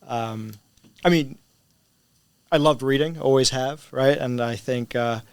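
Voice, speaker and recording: Middle-aged male teacher in a casual interview: hybrid international English accent, crisp resonant tone, very dry recording, frequent "right?" check-ins. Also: medium pitch, slow, no room sound. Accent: mixed English, American and international. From Spain. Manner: conversational, often checks with "right?".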